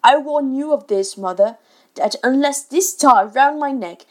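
A blustering grown man of a father, acted out by a woman narrator, pitched up in indignation and loud. He is sarcastic, self-pitying and stern, playing every grievance as high storybook drama. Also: measured pace. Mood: stern, dramatic. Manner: yelling, sarcastic, stern, overdramatic. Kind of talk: children's storybook character voice.

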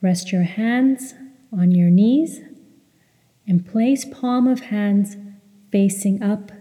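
A woman narrates a guided meditation in a very soft, dark, relaxed tone. She speaks slowly and soothingly, with measured instruction, and her voice trails off in a long reverb. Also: medium pitch.

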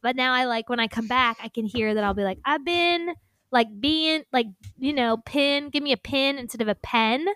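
A woman's voice: sits high, normal speed, a bit bright, clear, resonant tone, no echo, clean studio sound. A young woman from Indiana with a light Indiana accent, chatting casually and rambling with lots of fillers. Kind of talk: casual chat.

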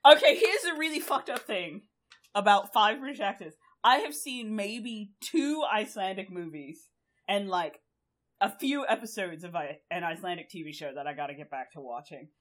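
A woman's voice: medium pitch, lively intonation, normal speed. Young woman rambling and laughing casually. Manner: rambling, laughs often, swears freely. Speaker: podcast co-host. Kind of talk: casual podcast chat.

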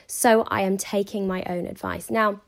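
A woman hosting her own podcast, talking in a chatty, upbeat way with a hoarse, croaky voice from a sore throat. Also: mid-range voice, steady tone, normal speed. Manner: chatty and upbeat, with frequent fillers. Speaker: podcast host and mindset coach. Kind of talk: casual solo podcast monologue.

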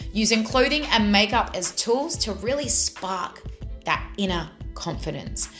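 A woman hosting her own podcast, her voice a little raspy from a lot of talking, speaking in an enthusiastic, motivational and direct way. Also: medium pitch, fairly bright tone. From Australia. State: slightly raspy voice from much talking. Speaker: podcast host. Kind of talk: solo podcast monologue.

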